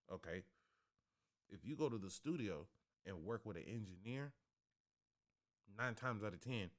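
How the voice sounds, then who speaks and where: Deep-voiced man, blunt and profane. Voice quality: deep bassy voice. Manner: profane, blunt, streetwise slang. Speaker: livestream host. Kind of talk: casual livestream talk. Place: home studio. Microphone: studio podcast microphone.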